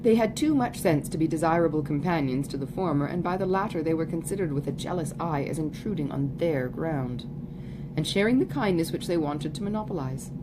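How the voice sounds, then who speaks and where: A woman reading a classic novel aloud in a measured, articulate way, as in an amateur audiobook. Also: sits low, heavy background noise. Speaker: audiobook reader. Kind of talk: literary narration of a classic novel.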